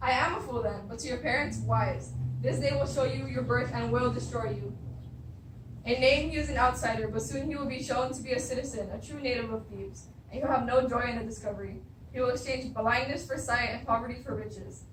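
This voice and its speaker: A woman's voice: on the high side, a little fast, fairly bright tone, natural voice. A young woman acting a stern, defiant blind prophet of doom in stage readers' theatre, solemn and accusatory. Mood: ominous, accusing. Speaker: drama student. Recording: roomy, distant mic, clear noise.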